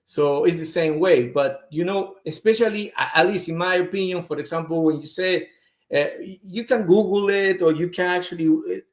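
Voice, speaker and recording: A man speaks as a guest in a casual chat, his voice pitched a little high. He hunts for words and leans on fillers. The room around him is noticeably echoey. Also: moderate pace. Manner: strongly accented, searching for words, many fillers. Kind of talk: casual conversation.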